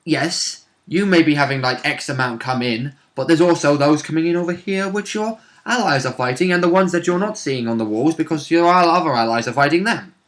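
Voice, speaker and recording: A man chatting casually as a tabletop game player, jokey and animated, with slangy asides. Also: a little high, fairly quick, few pauses. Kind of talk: casual chat.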